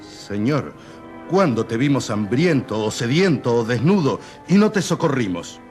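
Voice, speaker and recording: Solemn, distant scripture voice. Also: male voice, medium pitch, sing-song, measured pace, darkish tone, faint music behind. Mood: solemn, reverent. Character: solemn male voice reciting scripture. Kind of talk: dramatised scripture recitation.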